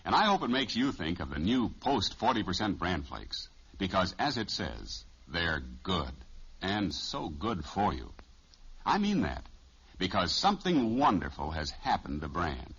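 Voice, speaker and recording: An American man delivering a 1950s radio advertising pitch as the commercial announcer. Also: big pitch swings, a little slow, fairly bright tone, husky. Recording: muffled vintage radio recording.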